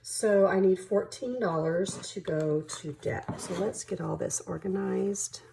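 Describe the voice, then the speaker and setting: A woman's voice: medium pitch, measured pace, creaky, background noise. A woman hosting her own budgeting vlog, stuffy from allergies, chatting casually and counting money aloud. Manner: friendly, apologetic, laughs easily, counts money aloud. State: congested with allergies, sniffling and coughing. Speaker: budgeting channel host.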